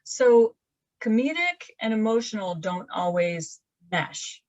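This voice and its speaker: A woman's voice: mid-range voice, sing-song, slow, no echo, dull audio. A woman in her fifties, a romance author, chatting casually in a video-call interview from her laundry room, rambling with many fillers and restarts. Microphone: webcam on a video call.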